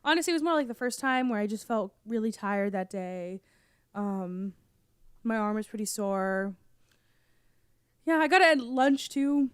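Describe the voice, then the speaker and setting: A young woman, still hoarse from being sick, chats casually on a podcast and coughs now and then. She rambles through fillers and restarts. Her voice comes through smooth and close, with no room sound. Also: fairly high pitch, lively intonation, a little slow, pauses often, no echo. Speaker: podcast co-host. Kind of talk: casual chat.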